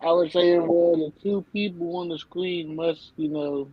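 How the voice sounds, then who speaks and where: Guy joining by call, relaxed and halting, with a dead-dry, muffled sound. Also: fairly high pitch, husky, no echo. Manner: relaxed, hesitant, repeats words. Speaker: guest. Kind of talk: casual chat on a call.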